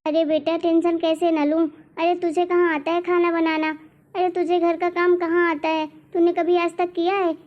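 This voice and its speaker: A very high-pitched older mother-in-law from a family cartoon, acted out by a woman. She frets and nags in a complaining way, and the sound is slightly dull and muffled. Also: level tone, normal speed. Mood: worried. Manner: fretful, nagging. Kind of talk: Hindi cartoon family drama voice acting.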